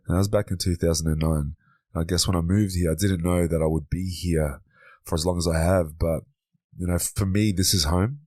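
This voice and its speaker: Deep-voiced man in his thirties. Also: a little mellow, dry recording. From Australia. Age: 30s. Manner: easygoing, repeats words while thinking. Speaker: podcast guest, men's coach. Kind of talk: relaxed conversational interview.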